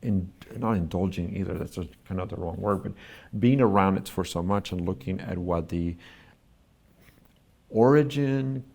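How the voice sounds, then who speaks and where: A man with a low, gravelly voice answers interview questions about his work. He speaks thoughtfully and often pauses to find the right words, building his points slowly. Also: darkish tone. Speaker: artist being interviewed.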